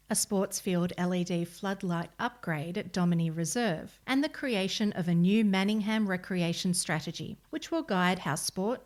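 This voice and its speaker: A woman with an Australian accent reads a scripted local news article as a volunteer narrator. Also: fairly low pitch.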